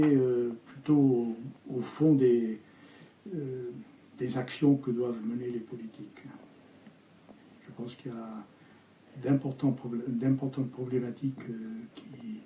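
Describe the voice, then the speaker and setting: Older male interviewee, Spanish native, hesitant delivery, very dark timbre, roomy echo. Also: medium pitch, a little hiss or hum. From Algeria. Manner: hesitant, halting with many fillers and restarts. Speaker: architect guest.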